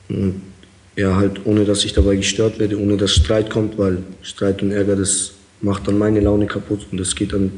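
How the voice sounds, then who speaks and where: Young man, low flat delivery, hesitant interviewee with frequent fillers. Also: rough recording. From Kazakhstan. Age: young adult. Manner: hesitant, many fillers, calm and plain. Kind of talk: interview answers.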